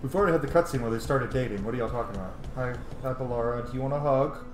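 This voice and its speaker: Male gaming streamer, casual and expressive, roomy sound with music underneath. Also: medium pitch, racing. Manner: expressive, emotionally invested. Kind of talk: casual gameplay commentary. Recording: roomy, game music behind.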